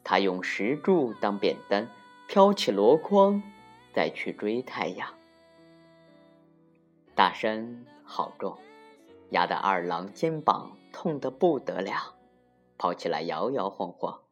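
A woman narrating a folktale for children as an expressive storyteller, deliberate and with dramatic emphasis. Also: rumbling low. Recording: muffled lo-fi recording with music.

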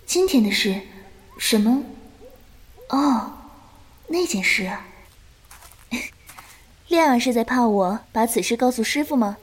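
An actress playing a gentle, patient and doting young swordswoman in a Chinese martial-arts audio drama, speaking softly and with composure. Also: a little high, animated, slow pace, long pauses, slightly rough, full character voice. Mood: fond, teasing.

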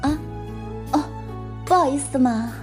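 A woman's voice: sits high, measured pace, music in the background. A young woman playing an anguished, self-sacrificing older sister in an audio drama. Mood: teasing. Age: young adult. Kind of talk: Chinese audio drama acting.

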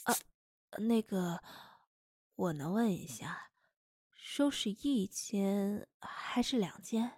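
A woman's voice: mid-range voice, lively intonation, slow pace, long pauses, noisy background. Polite, hesitant young woman, played by an actress. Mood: polite, subdued. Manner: hesitant, apologetic. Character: polite, timid young woman hosting guests at home. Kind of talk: audio drama acting.

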